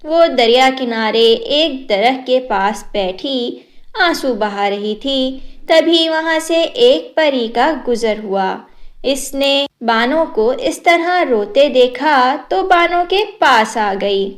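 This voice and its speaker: A woman narrating a moral storybook tale in a measured, even storytelling manner. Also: high-pitched.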